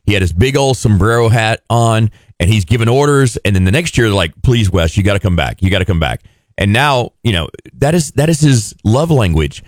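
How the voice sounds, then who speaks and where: A man hosting morning radio on a close, dry studio mic. His voice jumps around as he teases and cracks quick jokes, and his pitch sits in the middle. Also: expressive, dry recording. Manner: playful, teasing, quick jokes. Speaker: radio host. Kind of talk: casual morning show banter.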